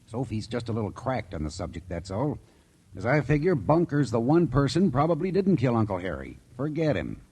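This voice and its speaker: A folksy small-town sheriff in a 1940s radio play. His mid-pitched voice is husky and animated. He talks plainly and dismissively. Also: a little fast. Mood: persuasive, weary. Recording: old radio drama recording.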